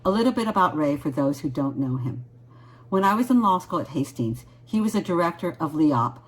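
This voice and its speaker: Woman, low husky voice, formal award presenter, measured reading. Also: poor audio. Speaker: foundation board member presenting an award. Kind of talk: prepared award introduction.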